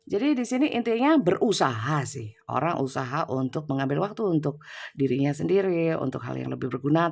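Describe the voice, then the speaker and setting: Gravelly woman reading tarot with a cold. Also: low-pitched, darkish tone. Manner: chatty, many fillers and tag "ya". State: has a cold. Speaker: tarot reader. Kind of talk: casual general tarot reading. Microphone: phone's built-in mic, no external mic.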